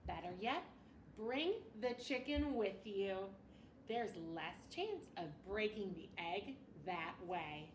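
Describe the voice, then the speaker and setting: A woman teacher reading a picture book aloud to children in an expressive way, often pausing to ask her listeners questions. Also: medium pitch. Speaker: elementary school teacher. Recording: roomy, distant mic with faint noise.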